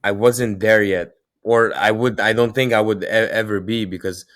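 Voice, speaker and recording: A man from Israel whose first language is Russian, answering interview questions in a halting way, with many restarts. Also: fairly low pitch, steady tone, a bit bright, no room sound, studio quality. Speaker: guest, hockey player.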